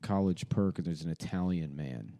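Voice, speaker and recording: A man casually recounting a comedy script in a rambling, halting way and acting out its quoted lines. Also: low-pitched, dark tone, no echo. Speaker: comedy podcast host.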